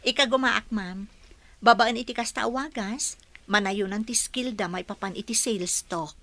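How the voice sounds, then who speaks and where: A woman's voice: fairly high pitch, moderate pace, bright, forceful tone, no room sound. A woman with a Filipino accent acting an earnest, polite young office employee in a radio drama. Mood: earnest, respectful.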